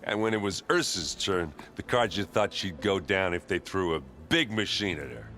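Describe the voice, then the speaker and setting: A hearty video game warrior with a very deep, forceful voice. He is boisterous yet bashful, telling tales in a folksy, fond way, with music playing underneath. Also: expressive, moderate pace. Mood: relaxed, wry. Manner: folksy, chuckling storytelling. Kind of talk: video game cutscene acting.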